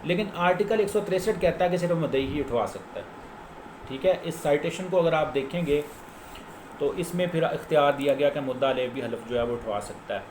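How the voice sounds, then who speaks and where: A man's voice: on the high side, a little fast, a little mellow. A man with a Pakistani accent giving an informal explanatory lecture as a lawyer, didactic and repeating key points for emphasis. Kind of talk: informal explanatory lecture citing case law. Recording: echoey room with faint background noise.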